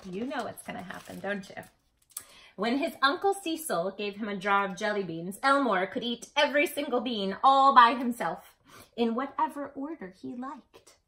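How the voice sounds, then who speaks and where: A woman's voice: fairly high pitch, lively intonation, normal speed, sharp tone, rough recording. A woman hosting a read-aloud for young children, speaking affectionately and expressively, with emphatic storyteller phrasing addressed straight to the listener.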